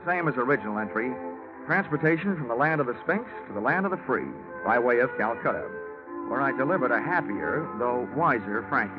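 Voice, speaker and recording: A man's voice: medium pitch, animated, a little fast, natural voice. An actor playing a wisecracking, laconic American insurance investigator narrating his case in 1940s radio detective style. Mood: wry narrating. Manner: wry, laconic, hard-boiled. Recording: muffled vintage radio recording with music.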